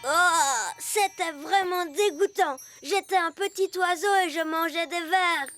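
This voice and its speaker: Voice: very high-pitched, unhurried, pushed and bright, soft background music, heavily acted. A performer voicing a small, eager elf boy in a children's cartoon, with a plain childlike manner. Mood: relieved. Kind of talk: children's cartoon dub acting.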